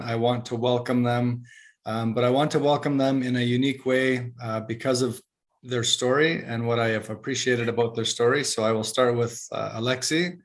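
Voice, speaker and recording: A man hosting a podcast interview, talking in a halting way with many fillers. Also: mid-range voice. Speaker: podcast host.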